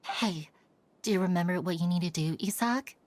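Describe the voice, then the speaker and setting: An actress playing a calm, reassuring female companion in a video game, encouraging and steady. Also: on the low side, normal speed, no echo, natural voice. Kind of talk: scripted game dialogue.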